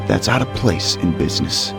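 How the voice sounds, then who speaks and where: A mocking, animated mobster turncoat. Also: male voice, lowish voice, normal speed, creaky. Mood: stern. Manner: mocking, theatrical delivery. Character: treacherous male mobster, mocking, cold, coolly businesslike. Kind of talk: dramatic video game cutscene acting. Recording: music behind the voice. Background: dramatic background music.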